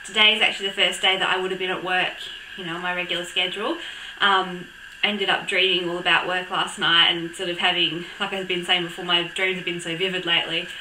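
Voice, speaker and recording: A young woman with a croaky voice from a cold, chatting casually to camera with lots of ums and little laughs. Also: on the low side, level tone, fast, pushed and bright, roomy sound, slight background noise, poor audio. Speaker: vlogger. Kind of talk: casual chat.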